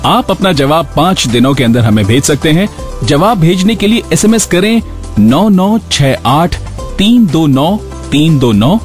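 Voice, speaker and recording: Male Indian radio presenter, scripted delivery, highish pitch, very animated, over a music bed. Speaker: radio show host. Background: background music.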